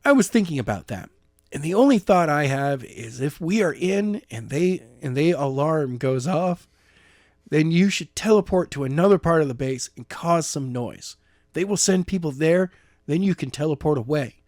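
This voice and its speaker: A man's voice: a little high, expressive, normal speed, darkish tone, no echo, clean studio sound, pitched above the performer's own voice. A man reading a stubborn, defiant young man with powers in his own plain voice, pushing his point insistently. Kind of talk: amateur audiobook reading.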